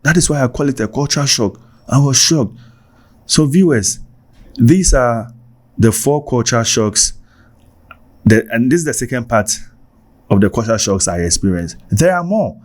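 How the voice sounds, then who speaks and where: A Ghanaian man with a Ghanaian accent, casually telling personal stories for his vlog, animated and repeating himself for emphasis. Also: mid-range voice, a little slow, long pauses. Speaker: vlogger.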